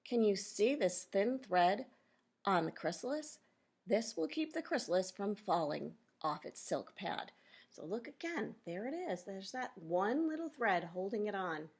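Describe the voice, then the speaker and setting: Female teacher for children's nonfiction read-aloud; lower-pitched than some but ordinary range, noticeable vocal fry, instructive asides to pupils. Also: very animated, measured pace, darkish tone. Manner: instructive, addresses children directly with questions and explanations.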